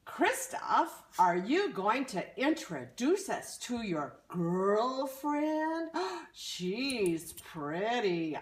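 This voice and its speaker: A woman voicing a small, friendly troll who gasps, gushes and repeats itself in excitement. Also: fairly high pitch, roomy sound, pitched above the performer's own voice. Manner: gasping, eager, repeating itself. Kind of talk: playing pretend voices.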